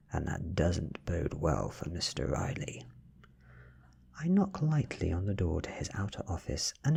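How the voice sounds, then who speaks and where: A man with a British accent reading a story in a hushed, deliberate ASMR style, mostly whispered, close to the microphone. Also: sits low, gravelly, husky. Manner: hushed, deliberate, lingering between short phrases. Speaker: audiobook reader.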